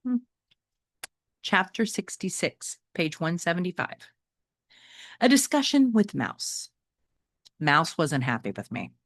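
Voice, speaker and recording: A woman's voice: on the low side, slow pace, pauses often, no echo, studio quality. A woman teacher reading a children's novel aloud expressively, speaking directly to her young listeners. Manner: expressive, addresses listeners with check-in questions.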